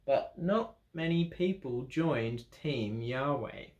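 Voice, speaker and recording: A man's voice: medium pitch, expressive, slow pace, muffled recording. An Australian man reading a children's picture book aloud at a church, with playful chatty asides. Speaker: children's story reader. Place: church room. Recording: roomy echo.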